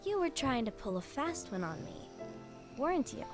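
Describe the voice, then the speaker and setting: A woman playing a gentle, earnest young leader in a video game cutscene, thoughtful and soft-hearted. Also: high voice, very animated, normal speed, music in the background. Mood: friendly.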